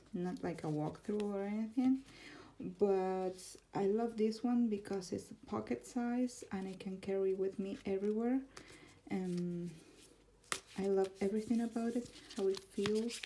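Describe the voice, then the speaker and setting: A woman's voice: mid-range voice, background noise. A woman from Argentina with an Argentine Spanish accent, chatting casually about her tarot decks and laughing often. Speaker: tarot vlogger. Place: home room, neighbor's car heard outside.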